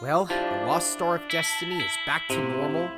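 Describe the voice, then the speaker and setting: A performer playing a plucky, cheeky young male animal hero in an audio drama, excitable and given to storytelling. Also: mid-range voice, normal speed, loud music behind the voice, lightly acted. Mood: narrating. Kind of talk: audio drama acting and narration.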